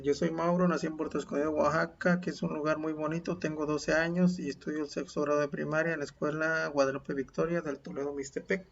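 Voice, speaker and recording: Man dictating slowly, gravelly voice. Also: fairly high pitch, a little hiss or hum. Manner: slow, deliberate dictation with spoken punctuation. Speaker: teacher. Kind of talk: dictating and reading a model text aloud. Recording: dull lo-fi home recording.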